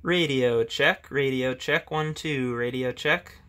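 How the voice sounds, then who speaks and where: A man thinking aloud in a relaxed way as he tests his CB radio in a garage. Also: mid-range voice, slow, noisy background. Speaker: radio hobbyist demonstrating his CB radio. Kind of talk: casual hobby video narration. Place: home garage.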